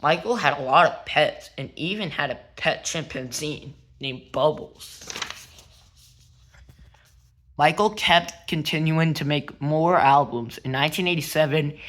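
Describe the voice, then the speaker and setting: A man reads a biography aloud in a slightly high voice. He takes his time, setting out each phrase in a plain, measured storytelling way. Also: fairly bright tone. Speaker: narrator and show host. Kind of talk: read-aloud biography narration.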